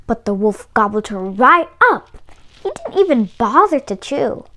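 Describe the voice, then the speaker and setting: A woman reading a children's story aloud in a deliberate, expressive narrating style. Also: fairly high pitch. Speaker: storybook reader.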